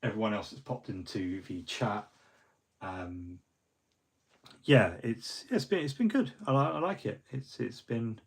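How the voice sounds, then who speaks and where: A middle-aged man rambles through a casual livestream wrap-up, full of ums and false starts. His voice is husky and sits a little low. He sounds a bit fluey. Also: animated, measured pace. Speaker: hobby livestream host.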